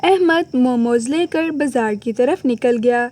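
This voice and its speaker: A woman's voice: high-pitched, measured pace, warm tone. A woman narrating a scripted cartoon moral story for the listener.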